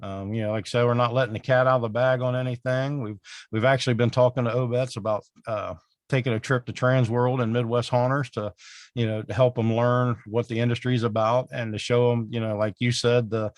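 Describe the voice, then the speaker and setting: A man co-hosting a podcast in casual chat, with a raspy voice, sounding worn out and rambling with lots of fillers. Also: mid-range voice, no room sound, clean studio sound. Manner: rambling, full of "you know" fillers. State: exhausted, worn out. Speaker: podcast co-host.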